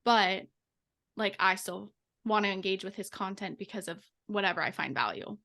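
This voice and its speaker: A woman of average pitch talks casually as a podcast co-host. She reasons her way through points reflectively, often seeking agreement as she goes. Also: expressive, normal speed, vocal fry, sharp tone. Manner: reflective, reasoning aloud, checks with "right?".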